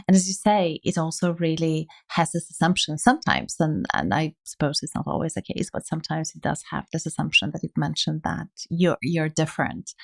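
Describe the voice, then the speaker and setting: A woman with a foreign accent hosting a podcast interview, speaking in a thoughtful, empathetic, measured way. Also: low voice. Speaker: podcast host. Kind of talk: reflective interview conversation.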